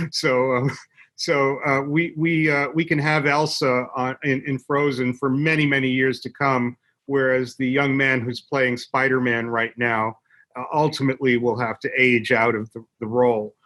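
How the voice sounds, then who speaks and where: Man explaining thoughtfully, halting and emphatic. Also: mid-range voice, level tone, fairly bright tone. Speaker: interview guest, media company executive. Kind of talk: conversational expert explanation.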